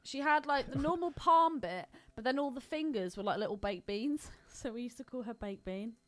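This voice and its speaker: A woman's voice: high-pitched, normal speed. A young British woman co-hosting a podcast, joking crudely and irreverently in casual film chat. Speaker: podcast co-host.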